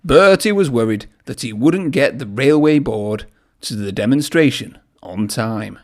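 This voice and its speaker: A man's voice: medium pitch, animated, unhurried, darkish tone. A man reading a children's story aloud in a narrating style. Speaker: storybook reader.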